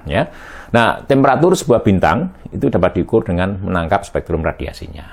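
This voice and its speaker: A middle-aged man with a very deep voice teaches an astrophysics lecture. He speaks in a halting way, filling pauses with hums and tag questions. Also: sing-song, vocal fry, a little mellow, breathy edge. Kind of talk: recorded university lecture.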